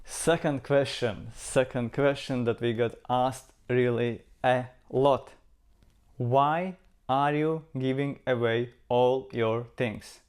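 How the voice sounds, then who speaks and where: A man's voice: mid-range voice, steady tone, slow, a little mellow, clear, resonant tone. A man from Latvia with a Latvian accent, talking to camera as a travel vlogger in an earnest, reflective way and repeating words for emphasis. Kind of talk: casual talk to camera.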